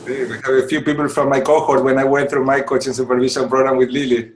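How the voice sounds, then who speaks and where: A man from Argentina with an Argentine accent hosts a casual group video call, friendly and halting with many restarts. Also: medium pitch, level tone, a little hiss or hum. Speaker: host of a supervisors' network call.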